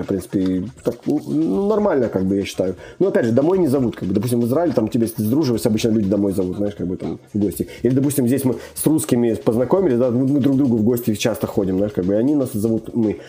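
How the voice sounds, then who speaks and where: Fast-talking man with a dark voice. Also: mid-range voice, expressive. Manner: rambling, many fillers and restarts. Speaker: immigrant guest. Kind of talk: casual conversation.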